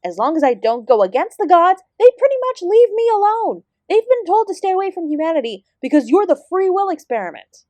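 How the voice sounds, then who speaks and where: A woman's voice: very high voice, sing-song, fairly quick, hardly any pauses, a little mellow, no echo. A woman playing an ancient celestial dance teacher in an audio roleplay, sarcastic and exasperated, speaking with sardonic, sweary emphasis. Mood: defiant. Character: ancient female apsara dance guru, sarcastic, exasperated, fiercely independent. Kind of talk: scripted audio roleplay addressed to the listener.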